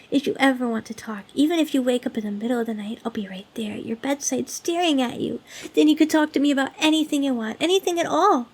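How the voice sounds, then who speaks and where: A woman voicing a lonely, sweetly clingy demon in an ASMR roleplay, speaking to the listener in a gentle, pleading, earnest way. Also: high voice. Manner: gentle, pleading, earnest, with hesitant stammers and hushed dips.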